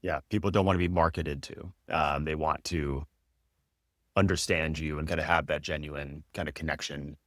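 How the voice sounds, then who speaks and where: A man's voice: a bass voice, fairly even intonation, moderate pace, a bit bright, dry recording, clean studio sound. A man hosting an interview in a casual, conversational style, with thoughtful, hedged phrasing. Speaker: interview host.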